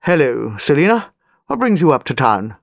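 A woman's voice: low voice, very animated, moderate pace, vocal fry, dry recording, studio quality, heavily acted. A woman voicing a soldierly male English colonel in an audiobook, terse and clipped. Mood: polite, curious.

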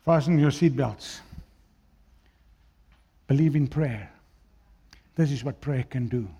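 A man from South Africa with an Afrikaans accent preaching a sermon, swinging between loud declaiming and quiet, low asides. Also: takes it slowly, creaky, breathy edge.

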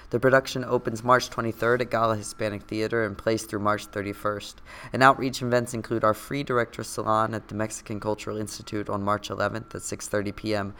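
A man hosting a podcast, hoarse from a cold, reading a scripted intro and then asking questions with many fillers. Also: lowish voice, a bit bright. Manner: hesitant with many fillers when unscripted. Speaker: podcast host.

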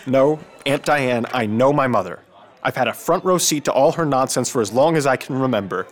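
A man's voice: medium pitch, expressive, a little fast, natural voice. A man acting in a radio drama as a frustrated, bitter teenage son with a cynical, sardonic manner. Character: bitter, frustrated teenage son, high school senior age.

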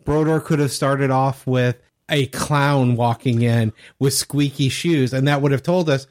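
A man's voice: mid-range voice, steady tone, unhurried, darkish tone. A man co-hosting a podcast in a casual, conversational style. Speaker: podcast co-host. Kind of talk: casual discussion.

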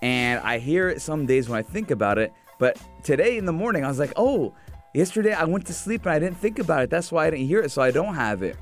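A man co-hosting a radio show, chatting in a casual, animated, self-mocking way. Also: fairly high pitch, quick pace, faint music behind. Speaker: radio co-host.

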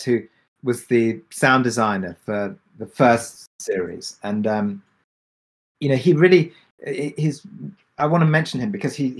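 Thoughtful man, halting panel talk. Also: medium pitch. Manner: thoughtful, halting, repeats words mid-sentence. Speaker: podcast creator and executive producer on a panel.

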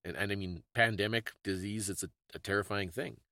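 Male podcast co-host, lowish voice, bookish and explanatory, conversational delivery, close dry sound. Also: a little fast, creaky, bright, forceful tone, no room sound. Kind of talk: casual conversational history discussion.

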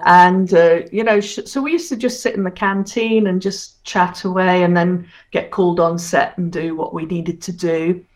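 A woman's voice: lowish voice, fairly even intonation, a little mellow. A woman in her sixties from Hong Kong, chatting as a guest in an interview and reminiscing about her career. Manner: chatty, reminiscing, quick to laugh. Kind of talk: casual interview.

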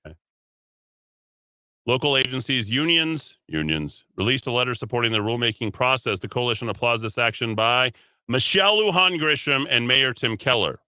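A man's voice: medium pitch, animated, moderate pace, pushed and bright, smooth, no room sound. A man hosting a talk radio show, opinionated, reading items aloud and mocking them, taking calls. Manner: opinionated, reads quotes aloud and mocks them. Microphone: studio broadcast mic.

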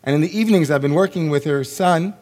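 A man, a rabbi, giving a sermon in a slow, reflective way with long pauses and a voice gone hoarse. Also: on the high side, a little mellow. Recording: long hall reverb.

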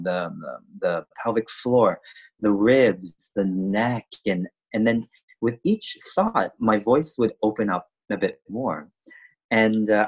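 A man whose first language is Cantonese, a dancer speaking as an interview guest, thoughtful and halting, with many restarts and fillers. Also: lowish voice, slightly rough, rough recording. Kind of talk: conversational interview.

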